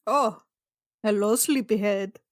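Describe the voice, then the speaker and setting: A woman's voice: mid-range voice, takes it slowly. A woman chatting casually and playfully as a tabletop game player, poking fun at herself. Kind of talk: casual chat.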